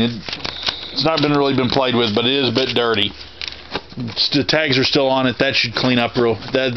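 A man in his thirties with a Texas accent, casually walking through his auction haul in a plainspoken, rambling way, filmed on a handheld camera indoors. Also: medium pitch. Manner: rambling, plainspoken, frequent restarts. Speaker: auction reseller vlogger. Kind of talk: casual haul video walkthrough. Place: indoor storage room. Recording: dull lo-fi camera audio with clear noise.

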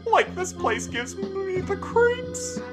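A lanky cartoon slacker, forever frightened. The voice is extremely high and whiny, cracking as it stammers in a slow drawl. It sits in a roomy echo with faint music behind. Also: male voice, very animated, distant mic, exaggerated voice. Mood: panicked. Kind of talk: cartoon comic dub.

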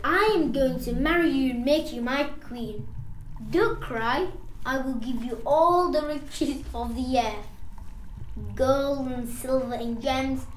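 A woman acts a lonely king of the underworld in an amateur audio drama. The voice is high and slow, possessive in temper. It sounds roomy, with faint noise. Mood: coaxing. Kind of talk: school audio drama acting.

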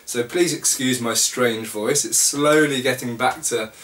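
A man's voice: mid-range voice, measured pace. Congested British man in an echoey room. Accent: British English. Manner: relaxed, explanatory, with many fillers. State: congested, recovering from lost voice. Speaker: channel host. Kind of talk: casual talk to camera. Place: small room indoors. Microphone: camera mic at a short distance. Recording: echoey room, slightly distant mic.

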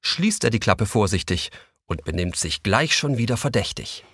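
A man's voice: on the low side, expressive, moderate pace, a bit bright, husky, no echo, clean studio sound. A man narrating a children's story as a scripted audio drama narrator.

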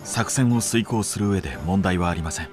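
An actor playing a calm, formal soldier and adviser in a video game, composed and matter-of-fact. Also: male voice, sits low, brisk, over music, close to an everyday voice. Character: calm, formal male military aide. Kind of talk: video game cutscene acting.

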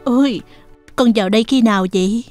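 A woman playing a gentle, poor mother in a cartoon fairy tale. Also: a little high, a little fast, faint music behind, natural voice. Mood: concerned. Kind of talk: animated fairy tale dub.

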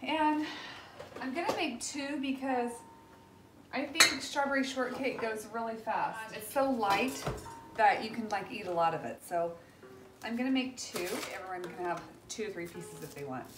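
A chatty woman in her thirties vlogs into a handheld phone, rambling from thought to thought in an upbeat way. Her voice sits a little high, and music plays clearly under her talk. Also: moderate pace, poor audio. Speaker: family vlogger. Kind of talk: casual vlog chat. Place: car, shop and home kitchen. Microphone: handheld phone camera.

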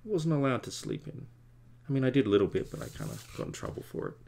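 A man's voice: mid-range voice, wildly swooping pitch, fast talker, gravelly, darkish tone, a little hiss or hum. A man in his forties, a comic artist chatting in a rambling, self-deprecating way to stream viewers while he draws at home. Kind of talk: rambling casual chat while drawing. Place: home studio at a drawing desk.

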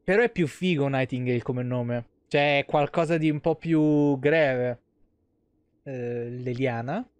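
A man's voice: mid-range voice, a little slow, smooth, no room sound. A man in his thirties with a Roman Italian accent, commentating casually on a game in slangy, rambling talk. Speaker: game streamer. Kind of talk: casual live gameplay commentary.

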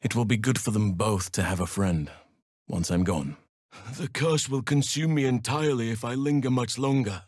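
A man playing a wise, gentle druid in a video game, speaking in a measured, formal and earnest way. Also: on the low side, no echo, clean studio sound. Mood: calm, grave. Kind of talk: video game character acting.

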